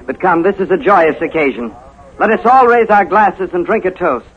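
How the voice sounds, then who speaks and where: Gentle family dad in an old radio play, higher voice, muffled sound. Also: male voice, animated, moderate pace, husky. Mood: gentle, cheerful. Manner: gentle, fatherly. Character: kindly, humble family father and clerk. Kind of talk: 1950s radio drama acting. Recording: muffled 1950s radio recording with crowd murmur.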